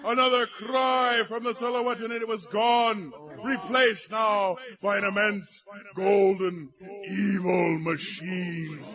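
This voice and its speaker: A man playing a grandiose storyteller, narrating a dark barbarian legend in a theatrical, drawn-out way. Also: high voice, dull audio. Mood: eerie, hushed. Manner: theatrical, bombastic, drawn-out delivery. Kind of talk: dramatic fantasy storytelling narration.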